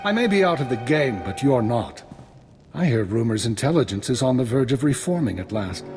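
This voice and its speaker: Game casting: unflappable male imperial spymaster, retired, formal, dryly courteous, mid-range voice over clear music. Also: medium pitch, sing-song. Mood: measured, grave. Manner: formal, measured, dryly polite. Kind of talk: scripted video game acting.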